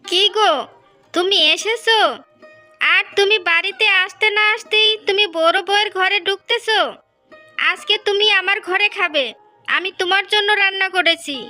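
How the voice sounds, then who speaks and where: A woman's voice: very high voice, steady tone, moderate pace, pushed and bright, soft background music. A woman voicing a jealous, bickering village wife, insistent and argumentative, in a Bengali fairy tale cartoon. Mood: possessive, quarrelsome.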